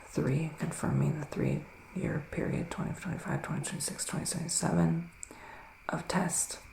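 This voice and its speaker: A man speaking softly and often whispering in an intimate ASMR roleplay as a gentle psychic witch, with deliberate, soothing pauses. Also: a little high, fairly even intonation, reverberant. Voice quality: soft, breathy, often dropping to a whisper. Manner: slow, deliberate, pausing, soothing delivery. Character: gentle male witch giving a psychic reading. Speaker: ASMR performer playing a psychic tarot reader.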